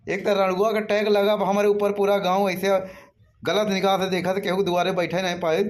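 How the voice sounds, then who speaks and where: Plaintive cartoon villager played by a man, very high male voice, aggrieved bachelor, Awadhi accent. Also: little pitch movement, normal speed, lightly acted. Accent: Awadhi, eastern Uttar Pradesh. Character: aggrieved village bachelor protesting his innocence.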